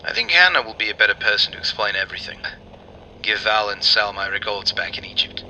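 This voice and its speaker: A man's voice: fairly low pitch, expressive, quick pace, bright, forceful tone, slight background noise, heavily acted, low-quality recording. A man playing a matter-of-fact wartime spymaster in a radio drama. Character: matter-of-fact male spymaster explaining schemes.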